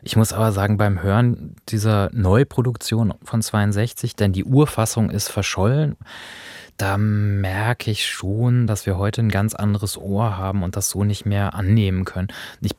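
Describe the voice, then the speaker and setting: A man's voice: lowish voice, steady tone, moderate pace, darkish tone, dry recording, studio quality. A man hosting a radio programme, talking in a conversational, analytical way. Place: dry studio.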